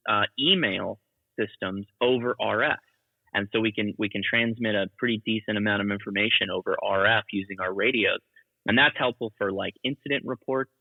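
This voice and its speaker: A man on a narrow, phone-like connection explains things casually as an expert guest. His voice sits a bit low, with very little room sound, and he leans on everyday examples. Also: no echo. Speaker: guest, ham radio educator. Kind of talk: casual explanatory interview talk. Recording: tinny, phone-like call audio.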